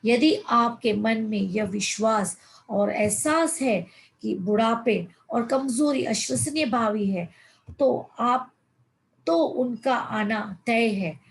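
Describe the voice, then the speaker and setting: A woman's voice: on the high side, slow, gravelly, low-quality recording. A woman in her fifties, a life coach, leading a motivational online session. She reads passages aloud and then explains them, often calling her listeners friends and mixing in English words. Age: 50s.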